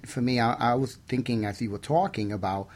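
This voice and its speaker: Male talk radio co-host, average pitch, casual delivery that gropes a little toward each question. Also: a little fast, darkish tone. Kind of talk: casual talk show hosting.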